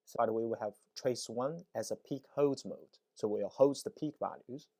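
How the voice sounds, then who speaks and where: A man with a mid-pitched voice presents a hands-on engineering demonstration. He explains things in an instructional way, punctuating his points with short check-in questions to the viewer. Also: normal speed, darkish tone. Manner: explanatory, frequent check-in tags like "right?" and "okay?". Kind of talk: technical demonstration, explaining to camera.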